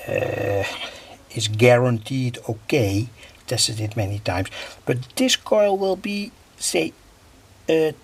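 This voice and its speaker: A man from the Netherlands gives an informal, hands-on technical explanation as a hobbyist, in a husky, gravelly voice with halting, piecemeal phrasing. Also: medium pitch, animated. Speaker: hobby electronics video maker.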